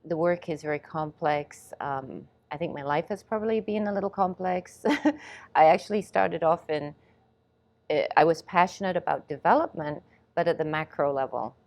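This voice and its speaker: A woman with a notably low voice, from Ghana and raised in England, speaks as an interview guest. She talks in a thoughtful, measured way and laughs softly now and then. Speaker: guest, movement and reflex specialist. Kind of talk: interview answers.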